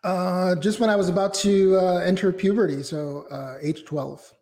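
High-voiced reflective man, interview guest. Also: steady tone, normal speed, dark tone. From Mexico. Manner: reflective, talks in long winding sentences with fillers. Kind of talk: casual interview.

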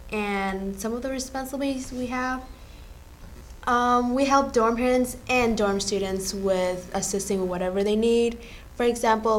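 A teenage student from Vietnam answering interview questions casually, quick and loosely phrased. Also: female voice, mid-range voice, slight background noise, rough recording. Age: teens. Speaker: student guest.

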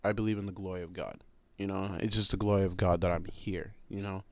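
A man's voice: deep voice, flat delivery, quick pace, darkish tone, no room sound. A young American man talking casually as a podcast guest, with many fillers like "like" and "you know". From United States. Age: young adult. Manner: laid-back, heavy use of "like" and "you know". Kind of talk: casual conversation.

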